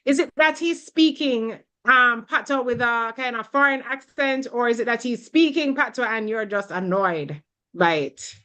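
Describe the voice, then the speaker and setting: Measured woman presenter, higher voice. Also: expressive, a bit bright. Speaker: webinar presenter. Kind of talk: answering questions on a video call. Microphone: video call.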